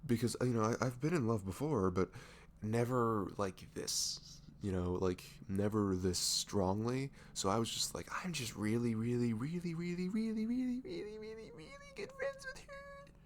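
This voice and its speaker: A man playing a nervous, dorky best friend confessing love in an intimate ASMR roleplay, halting and stammering, with sighs and soft breathy moments. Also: medium pitch, very animated, a little hiss or hum. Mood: nervous, earnest. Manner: halting, stammering, sighing, breathy laughs, often dropping to whispers. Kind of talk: roleplay ASMR audio drama, intimate and conversational.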